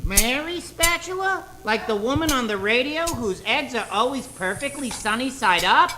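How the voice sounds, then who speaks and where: A woman's voice: fairly high pitch, lively intonation, normal speed, background noise, natural voice. A woman playing a picky, earnest young son in an old-time radio commercial, with exaggerated boyish enthusiasm. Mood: doubtful.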